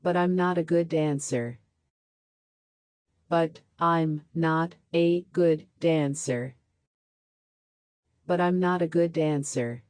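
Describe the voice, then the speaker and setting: A woman reading practice sentences aloud for a language lesson, saying each one three times with a slowed repeat. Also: deep voice, fairly even intonation, a little mellow, no room sound. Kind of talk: slow scripted sentence drills.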